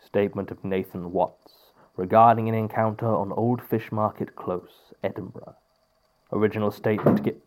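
A man's voice: on the low side, steady tone, moderate pace, darkish tone, lightly acted, low-quality recording. A man playing a prim, irritable archivist in an audio drama, speaking formally and precisely with a testy edge. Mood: formal, measured. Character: prim, irritable male archivist recording statements.

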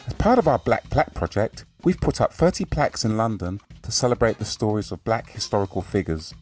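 A man with a fairly low voice hosts a podcast in a measured, formal way. He listens attentively and asks careful follow-up questions. Faint music sits under his talk. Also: big pitch swings, a little mellow. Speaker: podcast host. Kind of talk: scripted intro, then interview.

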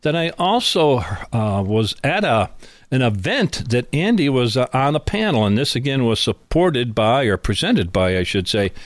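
A man hosting a business interview in an informal, conversational way, sometimes pausing to rephrase himself. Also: mid-range voice, sing-song, no room sound, studio quality. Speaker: interview host.

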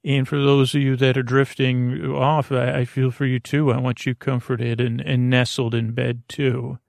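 A man hosting a bedtime podcast in a creaky voice, meandering and halting as he loses his thread and circles back. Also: medium pitch, little pitch movement, dry recording. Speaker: podcast host. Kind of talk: rambling bedtime podcast monologue.

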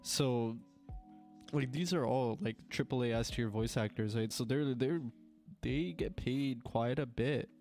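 A man's voice: medium pitch, a little slow, a little mellow, faint music behind. A young man with a cold, congested, chatting casually as a podcast co-host and rambling with lots of filler words. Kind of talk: casual chat.

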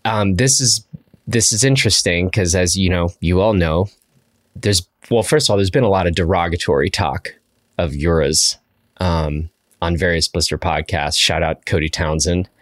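Host role, young man, very deep voice, dry self-mocking humor, chatty podcast delivery. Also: normal speed. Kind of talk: casual podcast chat.

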